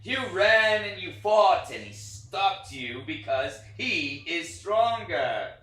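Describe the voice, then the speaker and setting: A man acts out an imperious talking puppet playing mother, high and piercing, handing out stern advice very slowly. It sounds like a stage reading in a roomy space. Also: very animated, pushed and bright, heavily acted. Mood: scolding. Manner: deliberate, imperious, advising. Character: talking puppet, bossy scolding surrogate mother. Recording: roomy, far from mic.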